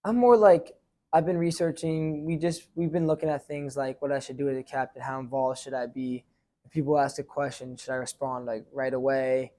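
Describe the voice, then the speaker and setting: A teenage boy talks about being a sports team captain in a relaxed, mellow voice. He wanders through his answers, restarting sentences and filling gaps with "like" and "I feel like." His tone is casual and unpolished. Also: medium pitch, moderate pace. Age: teens. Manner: rambling, lots of fillers and restarts. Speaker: high school lacrosse goalie and captain.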